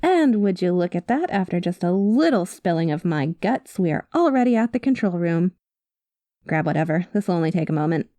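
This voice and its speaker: A woman's voice: on the low side, animated, fairly quick, warm tone, no room sound, close to an everyday voice. Woman playing a wry, cynical space smuggler, dry close sound. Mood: wry, confiding. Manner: wry, world-weary, conversational asides. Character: cynical, guarded female space smuggler with a soft spot. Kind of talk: ASMR roleplay addressed to the listener.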